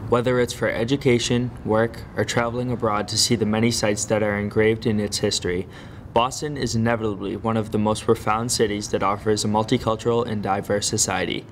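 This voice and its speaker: A man's voice: lowish voice, little pitch movement, brisk, slight background noise. A young man reading an earnest, formal scripted narration for a student documentary. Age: young adult. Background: music.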